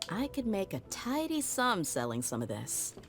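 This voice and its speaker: A woman's voice: medium pitch, huge pitch swings, normal speed, fairly bright tone, a little hiss or hum. A woman voicing a shrewd, playful treasure seeker in a game, with a sly drawl. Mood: pleased greed.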